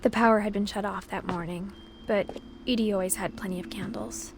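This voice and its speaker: An actress playing a reflective teenage girl, narrating her family's past in a soft, measured, subdued way for a video game. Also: medium pitch, steady tone, noisy background, lightly acted. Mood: pensive, melancholy. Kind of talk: scripted first-person game narration.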